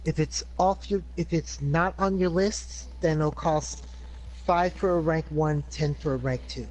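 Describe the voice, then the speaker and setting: A man's voice: fairly high pitch, fairly even intonation, a little slow, a little mellow, rough recording. A man at a tabletop game, chiming in matter-of-factly to state the rules. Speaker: player. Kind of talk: casual chat at a tabletop game.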